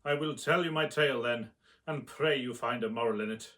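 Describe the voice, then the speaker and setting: A man's voice: medium pitch, a little fast, fairly bright tone, close to an everyday voice. A man acting a haunted, formal scientist telling his tragic tale in a radio drama. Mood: haunted, intense. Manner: formal, brooding, theatrical.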